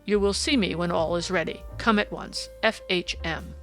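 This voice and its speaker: A woman voicing a gruff, irritable policeman in an audiobook, snarling and emphatic with indignation. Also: lowish voice, moderate pace, fairly bright tone, faint music behind. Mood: insistent. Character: gruff, irritable, blustering male police detective.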